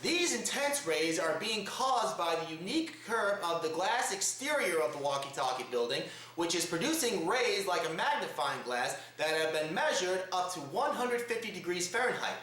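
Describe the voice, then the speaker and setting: A man's voice: high voice, very animated, normal speed, few pauses, pushed and bright. A man hosting a comedic news show with a theatrical, exaggerated showman delivery. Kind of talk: comedic news commentary to camera. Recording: roomy, echo-heavy home recording.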